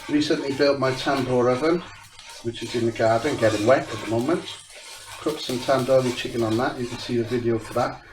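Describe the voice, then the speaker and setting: A man's voice: medium pitch, steady tone, fairly quick, reverberant, background noise. Stuffy-nosed Welsh man cooking in kitchen. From South Wales. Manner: rising intonation at sentence ends. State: stuffy, blocked nose from a cold. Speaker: home cooking channel host. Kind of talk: casual cooking demonstration. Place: home kitchen.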